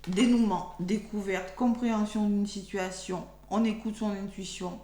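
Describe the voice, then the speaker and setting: A French woman with a nearly lost voice, speaking softly as she gives a chatty weekly tarot reading for her followers. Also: mid-range voice, steady tone, a little slow. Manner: chatty, thinks aloud while drawing cards. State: losing her voice, talking softly. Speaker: tarot card reader. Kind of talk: weekly astrology card reading. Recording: echoey room with faint hum.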